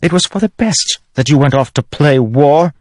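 A man voicing a scheming, haughty queen who mocks her husband in a high, scornful voice. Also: expressive, normal speed, a little mellow, dry recording, clean studio sound, natural voice. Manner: scornful, sneering, high and pinched. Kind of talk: audiobook character voice.

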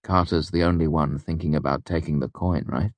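A man doing a calm middle-aged innkeeper with a deep, unhurried voice. He speaks measured and gently stern, in a dry, close recording. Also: no echo.

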